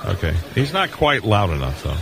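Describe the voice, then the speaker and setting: A man's voice: lowish voice, big pitch swings, normal speed, gravelly, a bit bright. A middle-aged or older man hosting a casual live radio interview, chatting and reminiscing. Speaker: radio show host. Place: convention hall booth. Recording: noisy live event floor broadcast. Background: busy convention floor noise.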